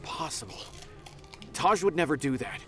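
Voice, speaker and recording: An actor playing an earnest, loyal young scholar in a video game, with an emphatic manner. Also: male voice, a little high, animated, moderate pace, fairly bright tone. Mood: defensive. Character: earnest young male scholar, loyal and defensive of his friend. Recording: faint game music behind the voice.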